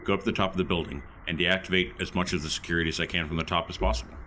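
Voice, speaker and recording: A man's voice: low-pitched, fairly even intonation, rapid-fire, pushed and bright. A man chatting casually as a player in a tabletop game. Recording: clear background noise.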